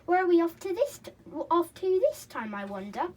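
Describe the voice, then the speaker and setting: A woman's voice: extremely high pitch, very animated, moderate pace, a little mellow, voice pushed up. A woman reading aloud and voicing a bold young boy in a children's storybook. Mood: eager.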